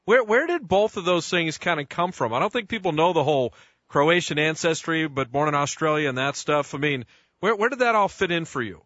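Radio host guy, bright punchy voice, rambly questions, dry studio sound. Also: on the high side, expressive, a little fast, no echo, highs cut off. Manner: chatty, rambling questions with restarts. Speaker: radio sports show host. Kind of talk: sports radio interview.

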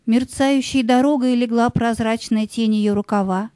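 A woman narrating a scripted fairy tale in measured, lyrical short phrases. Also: on the high side, steady tone, warm tone, clean tone, no room sound.

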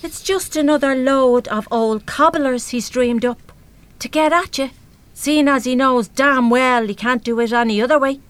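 Actress as older Irish woman, fairly high voice, blunt, rough-spoken, protective, radio play. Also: moderate pace, natural voice. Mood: indignant. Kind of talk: live radio drama acting.

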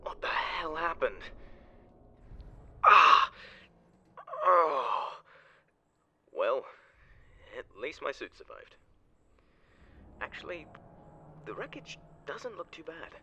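An actor playing an excitable, emotional, halting male spaceship crewman in a science fiction audio drama. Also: fairly high pitch, highs cut off, a little hiss or hum, heavily acted. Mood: pained, dazed. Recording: loud music under the voice.

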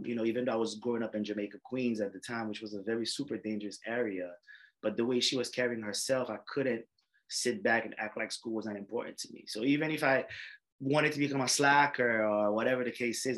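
A man's voice: lowish voice, poor audio. A man, an emergency doctor telling his life story in a casual interview, rambling with many fillers and restarts. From Haiti. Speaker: emergency medicine doctor, guest.

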